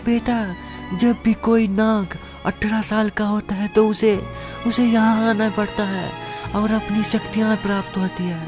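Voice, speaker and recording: A woman's voice: medium pitch, level tone, unhurried, natural voice. A woman playing a regal, solemn serpent queen who gives guidance in a fantasy story drama. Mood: solemn, guiding. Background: faint background music.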